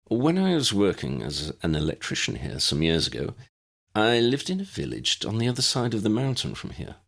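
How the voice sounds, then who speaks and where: A man's voice: on the low side, huge pitch swings, normal speed, creaky, a bit bright, full character voice. A man voicing a courteous, modest, slightly bumbling fellow in a story, polite and explanatory. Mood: apologetic, explanatory.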